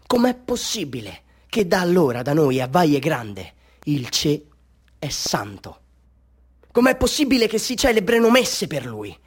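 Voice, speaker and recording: An actor playing a bitter, half-mad village man telling his tale in a theatrical radio drama monologue, swinging between hushed confiding and fervent declaiming. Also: on the high side, wildly swooping pitch, a little slow, slightly rough, full character voice. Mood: indignant, challenging.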